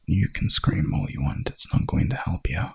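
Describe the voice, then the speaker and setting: A man voicing a hungry, dominant werewolf hunter in an ASMR roleplay, with a gravelly, breathy growl and a menacing, seductive drawl. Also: low voice, big pitch swings, normal speed, a little mellow, heavily acted. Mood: gloating menace.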